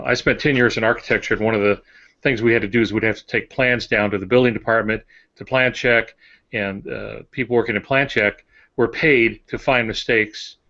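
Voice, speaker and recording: An older man co-hosting a podcast, giving conversational advice and anecdotes in a measured, hesitant way. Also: fairly low pitch, fairly bright tone. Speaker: podcast co-host and writer. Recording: dull lo-fi audio.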